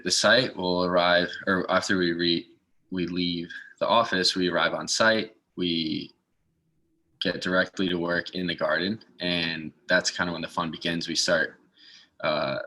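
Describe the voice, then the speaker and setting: A man's voice: deep voice, fairly even intonation, measured pace, bright, forceful tone, rough recording. A man from the northeastern United States speaking as a guest in an informal interview, explaining things. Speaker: guest speaker, garden maintenance assistant.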